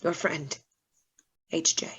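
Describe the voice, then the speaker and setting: A woman's voice: slow pace, a bit bright. A woman playing a tormented, earnest scientist in a gothic radio play, pleading in formal, dramatic phrases. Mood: grave, pleading.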